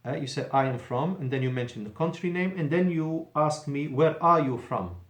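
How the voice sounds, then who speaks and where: A man's voice: on the high side, normal speed, reverberant. A male Arabic teacher giving a patient classroom lesson in a mosque, explaining in English and repeating Arabic phrases.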